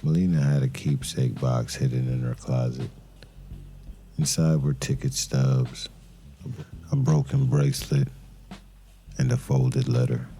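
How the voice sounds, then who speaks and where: An actor playing a weary, brooding detective who narrates his case in an audio crime drama with somber, deliberate pauses. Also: a bass voice, flat delivery, warm tone, slight background noise. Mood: somber, reflective.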